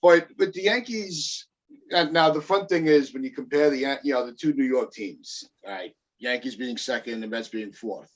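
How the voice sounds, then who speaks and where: A man's voice: medium pitch, normal speed. A man hosting a casual sports podcast over a video call, leading the chat with questions. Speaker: podcast host. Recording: dull lo-fi video call audio.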